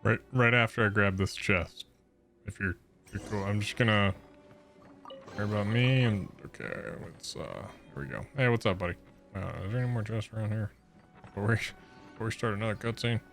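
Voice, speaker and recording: A man's voice: fairly low pitch, brisk, a bit bright, faint music behind. A man giving casual, chummy gameplay commentary as a streamer, rambling and cracking jokes. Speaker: game streamer.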